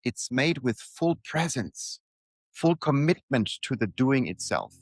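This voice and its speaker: A man reading his own reflective motivational piece aloud in deliberate short phrases, leaning on repetition for emphasis. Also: mid-range voice, sing-song, no room sound.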